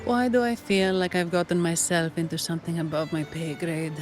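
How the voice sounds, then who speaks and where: A woman voicing a wry young businesswoman caught up in an investigation, brisk and matter-of-fact, over game music. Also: fairly low pitch, steady tone, natural voice. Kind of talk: game cutscene voice acting.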